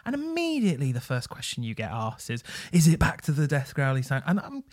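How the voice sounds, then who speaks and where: A man's voice: on the high side, huge pitch swings, a little fast, darkish tone. A man co-hosting a music podcast, chatting casually with lots of ums and dry jokes. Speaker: podcast co-host. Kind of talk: casual chat about music.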